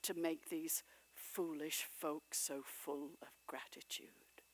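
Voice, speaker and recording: A solemn spirit speaking in a low, hushed whisper, as a woman reading a storybook puts it on. It talks quietly and gravely, pointed yet gentle. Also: measured pace. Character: solemn gentle spirit guiding a man through memories.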